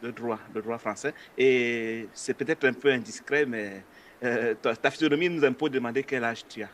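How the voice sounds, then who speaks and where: A man's voice: medium pitch, normal speed, a bit bright, slight background noise. Man, interview host, polite, hesitant, conversational. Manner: polite, hesitant, many filler sounds.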